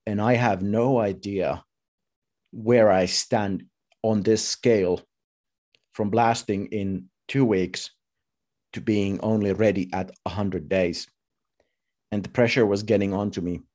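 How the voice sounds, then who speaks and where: Slow-talking man with a lowish voice. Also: steady tone, a little mellow. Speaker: conference speaker. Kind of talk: personal meetup talk, storytelling. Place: indoors at home, video call.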